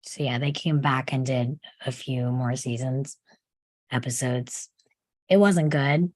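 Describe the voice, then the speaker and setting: Low-pitched, unhurried woman chatting casually. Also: a little mellow, dry recording. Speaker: knowledgeable fan in a group discussion. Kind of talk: casual chat about a TV series.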